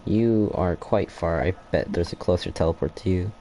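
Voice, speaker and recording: Dude rambling thru his game run, lowish mellow voice, keeps trailing off and restarting. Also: normal speed, a little hiss or hum. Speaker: gameplay streamer. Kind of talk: casual gameplay commentary.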